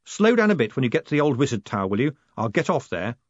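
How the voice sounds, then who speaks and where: Brief: male reader as a slick young schemer, lively, confident, dry lo-fi audio. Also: mid-range voice, quick pace, no room sound, voice pushed up. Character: quick-witted young male con man. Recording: dull, dry recording.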